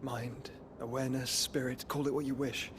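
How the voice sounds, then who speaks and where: An actor with a British accent playing an earnest, ailing young nobleman in a video game, speaking in formal, measured phrases. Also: medium pitch, little pitch movement, lightly acted. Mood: weary, resigned. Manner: grave, measured, formal diction. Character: ailing, earnest young nobleman, wielder of fire, devoted brother. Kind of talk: dramatic video game acting. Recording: orchestral music behind.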